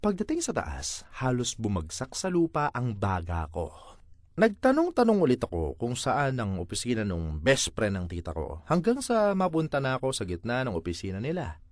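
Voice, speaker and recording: A Filipino-accented man performs a comic first-person monologue as a dramatized story. His mid-pitched voice swings extremely widely in a theatrical, exasperated delivery, recorded close and dry. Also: huge pitch swings, moderate pace, no echo. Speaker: voice-over reader of a comic monologue.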